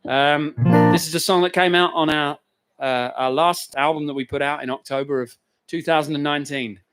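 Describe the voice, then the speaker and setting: A man's voice: on the high side, fairly even intonation, moderate pace, over loud music. A man chatting between songs in a live radio session, introducing his band's song with a joking, self-mocking manner and plenty of fillers.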